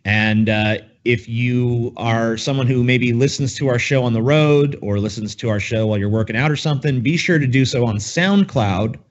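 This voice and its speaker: A man with an average-pitched voice talks casually as a podcast co-host, swearing freely. His delivery is sarcastic and mock-grandiose, and the sound is slightly muffled and lo-fi. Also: moderate pace, few pauses. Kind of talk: casual, profane banter.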